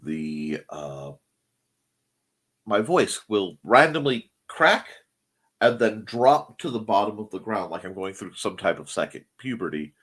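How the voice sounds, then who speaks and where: Husky middle-aged man, slow and wandering. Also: on the low side, big pitch swings, fairly bright tone. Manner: rambling, searching for words, wry asides. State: recovering from losing his voice. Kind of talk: casual chat.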